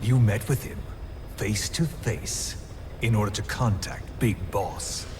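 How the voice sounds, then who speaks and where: Sinister game villain, very deep, slow and brooding, noisy cutscene. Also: male voice. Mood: menacing. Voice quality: low, slow, gravelly menace. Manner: measured, brooding, interrogating. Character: sinister scheming male commander, cold and menacing. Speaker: villain interrogator. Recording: game cutscene with background noise.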